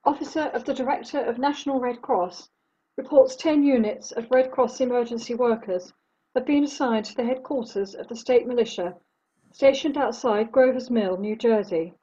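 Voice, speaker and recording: A woman playing a composed radio news announcer in a drama, reading grave bulletins in a formal, measured way. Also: a little high, dark tone, breathy edge, lo-fi sound.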